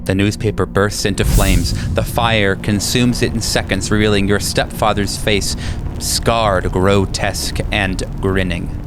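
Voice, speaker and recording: Male game master, lowish voice, dramatic scripted horror narration with clear music underneath. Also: steady tone, unhurried.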